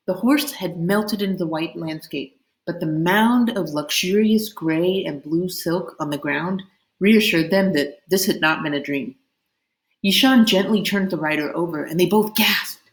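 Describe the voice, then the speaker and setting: A woman reading a children's novel aloud in expressive, dramatic storybook narration. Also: on the low side. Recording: roomy, echoing indoor sound.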